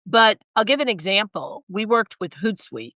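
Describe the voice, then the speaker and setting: A woman guest with a raspy, hoarse voice, talking briskly and emphatically in an interview. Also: medium pitch, animated, bright, forceful tone, no echo. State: hoarse, recovering from illness. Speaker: guest, sales consultant and author. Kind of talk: conversational interview.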